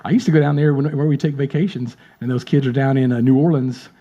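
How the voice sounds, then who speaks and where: A man's voice: mid-range voice, steady tone, quick pace, dark tone, highs cut off. A drummer in his late fifties, chatting as a guest in a casual interview, friendly and rambling, often repeating words. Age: 50s.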